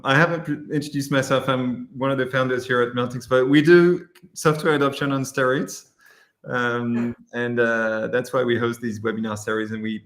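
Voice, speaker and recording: A man hosting a webinar and interviewing a guest, friendly and loose, with many "um"s. His voice sits at a middling pitch and carries a noticeably roomy echo. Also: fairly bright tone. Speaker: webinar host, company founder.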